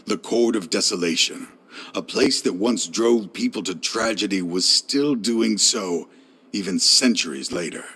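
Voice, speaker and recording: An actor voicing a grieving older scholar father in a video game, solemn and measured. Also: fairly low pitch, bright, forceful tone, slightly rough, exaggerated voice. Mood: resolute.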